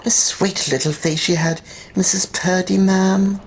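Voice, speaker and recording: A woman's voice: medium pitch, fairly even intonation, measured pace, a little hiss or hum, close to an everyday voice, rough recording. A woman voicing gossipy, deferential housekeepers with a folksy manner in a dramatized story reading. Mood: gossipy, conspiratorial. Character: Irish landlady housekeepers, gossiping, businesslike, flattering older women.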